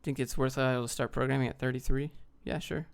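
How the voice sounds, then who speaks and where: Male streamer, from the US, mid-pitched, heavy vocal fry, blunt, slangy, unscripted Q&A chat. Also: steady tone, fast talker. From United States. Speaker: livestream host answering viewer questions. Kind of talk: casual off-the-cuff Q&A chat, reading questions aloud.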